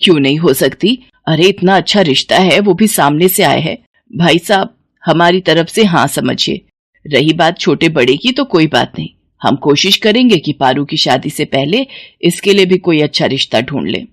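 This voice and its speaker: A woman's voice: deep voice, lively intonation, moderate pace, slightly rough, no room sound, studio quality, pitched below the performer's own voice, heavily acted. A woman voicing an exasperated middle-aged mother in a story. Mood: respectful, earnest. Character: scolding, worn-out middle-aged village mother, respectful to elders.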